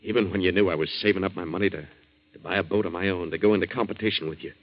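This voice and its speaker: A man's voice: sits low, fairly even intonation, very fast, close to an everyday voice. An actor playing a blunt, slangy, hot-headed young waterfront man with a decent heart in a 1940s radio drama. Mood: resigned, earnest. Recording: dull 1940s radio recording.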